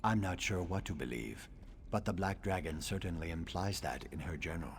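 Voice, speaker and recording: An actor playing a scholarly reptilian assassin in a video game, speaking in a measured, formal, dryly sardonic way. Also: male voice, low-pitched, expressive, vocal fry, slight background noise. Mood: pensive. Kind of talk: video game dialogue acting.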